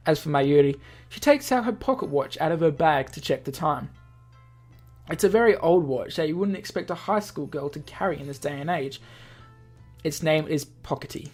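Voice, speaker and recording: Low-pitched woman reading a story aloud. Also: expressive, moderate pace, darkish tone, faint music behind. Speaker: let's-play host reading a visual novel aloud. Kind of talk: expressive read-aloud narration.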